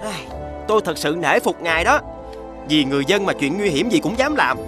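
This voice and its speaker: Casting: male actor as loyal, cautious cartoon sidekick, high, swooping delivery. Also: lively intonation, fairly quick, over music, close to an everyday voice. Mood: admiring. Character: deferential, admiring, cautious servant companion of the hero. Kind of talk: animated fairy-tale dialogue.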